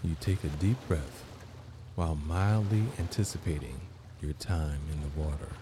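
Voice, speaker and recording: A man hosting a guided meditation, narrating in measured, deliberate phrases with long pauses. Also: extremely low pitch, background noise. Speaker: guided meditation podcast host.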